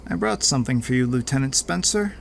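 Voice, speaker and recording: An actor playing a smug, menacing crime lord in an audio drama, pompous and taunting with drawn-out mock politeness. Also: male voice, mid-range voice, lively intonation, vocal fry, noisy background. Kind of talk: audio drama villain acting.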